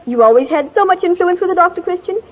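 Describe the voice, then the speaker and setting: An actress playing a headstrong, slangy fifteen-year-old tomboy in an earnest, gushing 1940s radio drama style. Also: female voice, extremely high pitch, expressive, fast, phone-quality sound. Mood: pleading, indignant.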